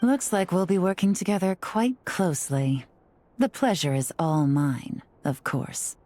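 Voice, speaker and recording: Casting: smooth-talking female negotiator, lowish mellow voice, poised and shrewd, game dialogue. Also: animated, unhurried, soft background music. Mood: satisfied. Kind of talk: video game voice acting.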